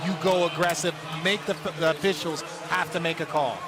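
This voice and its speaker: Insistent high-voiced male commentator over heavy noise. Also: steady tone, fairly quick. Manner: insistent, repetitive, emphatic. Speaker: basketball commentator. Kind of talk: opinionated sports critique. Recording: very noisy, game audio behind.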